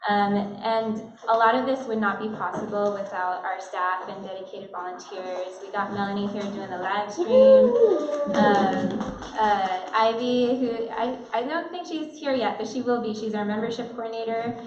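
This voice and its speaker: A woman's voice: medium pitch, normal speed. A chatty woman welcomes guests to a gallery opening, filling her talk with "um"s and easy laughs. She sounds distant and dull, caught in an echoing room with a crowd murmuring behind her. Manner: chatty, many fillers, laughs easily. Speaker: arts organization staff member introducing the event. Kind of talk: casual welcome speech. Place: gallery opening reception, indoors.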